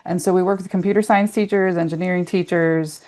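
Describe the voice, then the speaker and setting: A woman, a research director, introducing herself on a video call in a brisk, businesslike way. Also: lowish voice, fairly even intonation, a little mellow.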